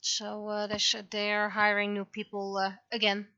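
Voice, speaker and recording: A woman's voice: mid-range voice, monotone, normal speed, pushed and bright, muffled recording. A woman chatting casually on a livestream while she works on her art, rambling and thinking aloud. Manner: rambling, thinking aloud, acts out remembered exchanges. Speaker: livestreamer, webcomic artist.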